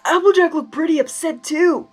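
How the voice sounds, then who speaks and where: A woman voicing a worried, determined young male storybook character in a loud, earnest way. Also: very high voice, moderate pace, soft background music, voice pushed up. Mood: concerned.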